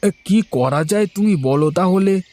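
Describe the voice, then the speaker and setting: A man's voice: high-pitched, a little slow, darkish tone. A man voicing a simple, good-natured village man in a cartoon, naive, hesitant and eager to oblige. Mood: puzzled, agreeable. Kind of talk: cartoon voice acting.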